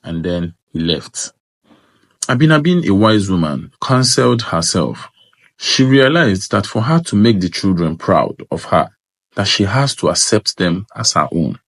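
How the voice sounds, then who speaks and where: A man's voice: low-pitched, big pitch swings, a little slow, fairly bright tone, dry recording. A man narrating a scripted folktale as a storyteller.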